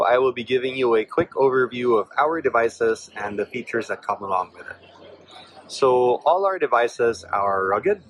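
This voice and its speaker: A man's voice: medium pitch, slight background noise, low-quality recording. A man presenting a product demonstration in an explanatory way.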